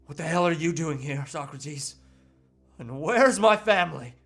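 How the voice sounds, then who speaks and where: Man playing a high-voiced, defiant everyman hero. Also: expressive, a little slow, fairly bright tone. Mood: indignant. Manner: earnest, melodramatic defiance. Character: defiant, indignant everyman husband standing up to villain. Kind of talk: comedic table read of a movie script.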